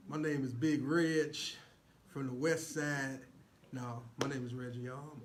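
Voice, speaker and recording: Male talk show guest, middle register, folksy joker, relaxed banter, slight room noise. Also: medium pitch, unhurried. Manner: jokey, folksy, playful. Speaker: actor, comedian guest. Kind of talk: casual, joking interview talk.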